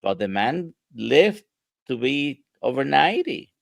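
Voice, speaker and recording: A man's voice: mid-range voice, sing-song, a little slow, gravelly, dry recording, studio quality. A male doctor from Puerto Rico, explaining diet to an interviewer in an emphatic, conversational way with rhetorical questions.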